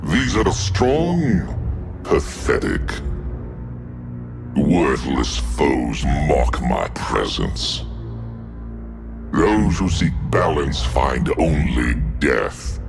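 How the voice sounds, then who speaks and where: An actor voicing a bloodthirsty, sentient male scythe that taunts its foes in a gravelly, menacing voice for a game. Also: very deep voice, very animated, very slow, long pauses, full character voice. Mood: gloating. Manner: gloating taunts. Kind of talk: game voice lines. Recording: game audio with music.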